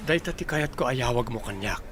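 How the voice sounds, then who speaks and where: A man's voice: medium pitch, lively intonation, fairly quick, background noise, natural voice. A man playing a sincere young suitor in an audio drama. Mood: earnest. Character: sincere young man, courting, done with his ex.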